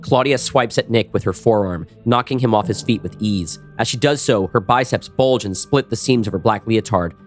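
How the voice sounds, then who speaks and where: Low-voiced male action narrator. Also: moderate pace, hardly any pauses, soft background music. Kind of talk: scripted narration of an action audio drama.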